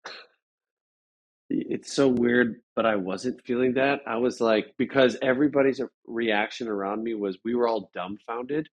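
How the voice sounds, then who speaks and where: A man speaking as an interview guest, telling a story casually in a halting, rambling way with repeated phrases. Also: mid-range voice, highs cut off.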